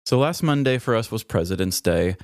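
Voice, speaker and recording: A man's voice: on the low side, animated, fairly quick, no echo. Man telling casual stories, dry close sound. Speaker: podcast co-host. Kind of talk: casual comedic storytelling.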